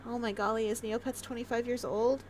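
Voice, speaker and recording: A woman's voice: fairly high pitch, steady tone, a little fast, a little hiss or hum. A Canadian woman with a light Maritime Canadian accent, chatting casually and giggling as she livestreams a game. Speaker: game streamer.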